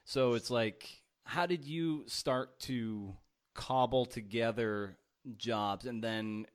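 A man's voice: medium pitch, takes it slowly. A man interviewing a guest casually, phrasing his questions in a reflective, conversational way. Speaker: podcast interviewer and host.

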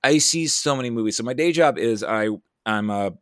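A man's voice: medium pitch, animated, a little fast, a bit bright, dry recording, clean studio sound. A man chatting casually as a podcast guest, rambling and self-deprecating, sounding a bit tired. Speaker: podcast guest, writer and creative producer. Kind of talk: casual chat.

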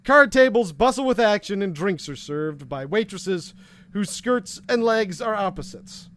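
A man with a fairly high voice narrates a noir adventure to his players as game master. He speaks slowly and deadpan, with a wry edge. A faint noise sits under the recording. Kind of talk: second-person noir narration.

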